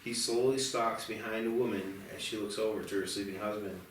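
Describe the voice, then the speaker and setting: A man narrates a screenplay in a fairly low voice. He sits back from the microphone in a very echoey room, with faint noise, reading scene descriptions as scripted narration. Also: fairly even intonation, fairly quick, a bit bright, far from the mic. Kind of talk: scripted narration at a table read.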